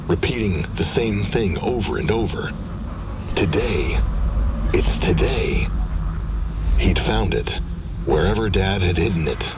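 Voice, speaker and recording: Male horror narrator, low pitch, scripted first-person storytelling, noticeable ambient noise.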